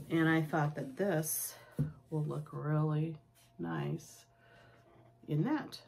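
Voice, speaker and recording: A woman hosting a casual needlework show-and-tell, sniffling with a cold and talking in a halting, rambling, homespun way. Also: low voice, dark tone. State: sick and sniffling. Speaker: needlework vlog host. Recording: clearly noisy home recording.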